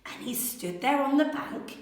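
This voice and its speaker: A woman teacher reading a children's story aloud in an expressive, theatrical, deliberate way. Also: high voice. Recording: very echoey room, slightly distant mic.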